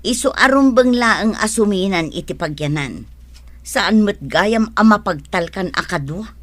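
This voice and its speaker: A woman's voice: mid-range voice, expressive, a little slow, no room sound, natural voice. A woman acting a sensible, generous older sister from the village in a radio comedy drama, with a Filipino accent. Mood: suspicious.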